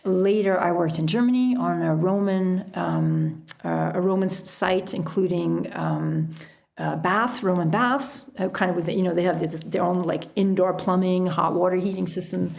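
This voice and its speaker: A middle-aged woman telling stories casually as an interview guest, in an animated, chatty way. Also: lowish voice, normal speed, creaky. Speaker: podcast guest, author and sailor.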